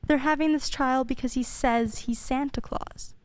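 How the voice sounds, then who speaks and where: A woman playing a gentle, caring mother in an amateur radio play. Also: sits high, fairly even intonation, moderate pace, lightly acted.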